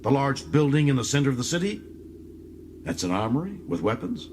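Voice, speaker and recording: An actor playing a resolute middle-aged starship captain in an audiobook, questioning in an earnest, emphatic way. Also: male voice, medium pitch, very animated, a little fast, darkish tone, slight background noise, pitched above the performer's own voice. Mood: earnest, disbelieving.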